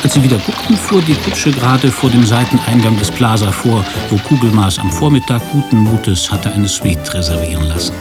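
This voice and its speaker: Low-voiced male storyteller with dry delivery. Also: animated, brisk, few pauses, over loud music. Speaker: narrator. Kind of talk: scripted literary narration in a radio drama.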